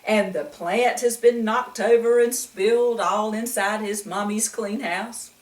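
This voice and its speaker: A woman reading a picture book aloud to children in an animated way, often putting questions to the listener. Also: medium pitch, measured pace. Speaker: children's story reader. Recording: echoey room, distant mic.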